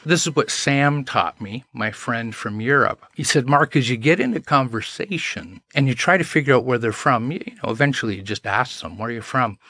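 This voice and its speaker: A man's voice: medium pitch, a little fast, few pauses, sharp tone, no room sound. A man, a podcast guest telling anecdotes in an earnest, self-deprecating way. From Canada. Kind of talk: casual chat, storytelling.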